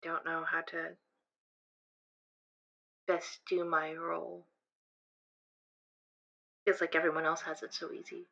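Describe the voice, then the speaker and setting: A woman's voice: sits low, monotone, slow pace, creaky, long pauses, sharp tone, exaggerated voice. A young woman playing an anxious, self-doubting student in an improvised audio drama, speaking hesitantly with stammers, on a slightly dull recording. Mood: anxious, dejected. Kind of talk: improvised actual-play audio drama, conversational.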